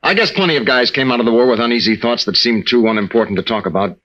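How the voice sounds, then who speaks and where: An actor playing a young American war veteran and newlywed husband, guarded yet teasing and affectionate, in 1940s radio drama style. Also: male voice, mid-range voice, fast talker, a bit bright, close to an everyday voice. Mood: earnest, confiding. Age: young adult. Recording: muffled old-time radio recording.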